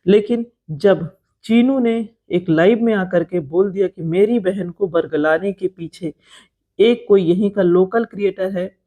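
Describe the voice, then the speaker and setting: An Indian-accented woman narrates gossip commentary for a vlog. Her voice is dark and mellow at a medium pitch. She talks straight to viewers in an informal, explanatory way, often repeating phrases to press a point. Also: a little slow. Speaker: vlogger commenting on creator drama.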